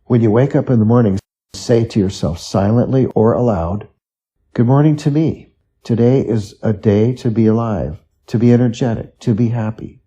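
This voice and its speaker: Dark, husky man reading scripted tips. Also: medium pitch, normal speed. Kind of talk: scripted reading of self-help and study tips.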